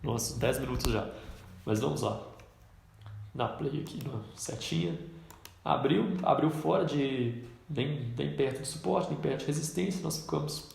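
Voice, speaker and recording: A man's voice: medium pitch, normal speed, gravelly, a little mellow, heavy reverb, a little hiss or hum. A man with a cold, teaching a trading lesson in a casual way while he points things out on a chart. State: congested with a cold. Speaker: trading instructor. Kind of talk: screen-recorded trading lesson.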